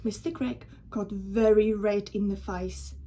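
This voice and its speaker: Unhurried woman reading a children's story. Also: mid-range voice. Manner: measured, animated storytelling for children. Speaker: primary school teacher reading a story aloud. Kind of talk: expressive children's storybook narration.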